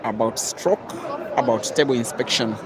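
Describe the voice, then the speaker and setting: A man from East Africa giving live, measured commentary on a pool match, with sudden excited rises. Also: medium pitch. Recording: loud crowd and noisy outdoor venue.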